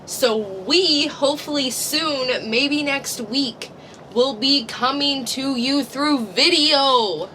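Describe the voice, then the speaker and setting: Female co-host with a bright voice and a slightly high pitch, casual and self-deprecating, faint noise behind her. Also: takes it slowly, low-quality recording. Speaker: podcast co-host. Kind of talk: casual podcast chat.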